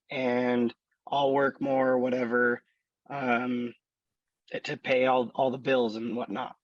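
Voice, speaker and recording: A man in his thirties with a raspy voice, slowly telling his life story in an interview with many fillers. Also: medium pitch, no room sound, dull audio. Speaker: student.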